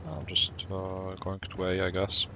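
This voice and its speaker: Polish guy streaming a tank game, deep gravelly voice, chill commentary. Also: background noise. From Poland. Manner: calm, unhurried, greets chat viewers between plays. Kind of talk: casual live-stream gameplay commentary.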